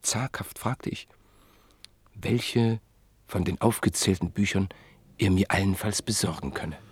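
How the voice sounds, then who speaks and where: A man narrating a radio play in a measured, literary storytelling manner. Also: low voice, breathy edge, dry recording.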